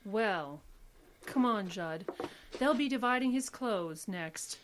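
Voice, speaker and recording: An actress playing a determined, quick-witted woman lawyer in an old-time radio detective play, assured, articulate and wry. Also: medium pitch, animated, a little hiss or hum. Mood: cool, wry. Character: determined, idealistic woman defense attorney, affectionate and quick-witted.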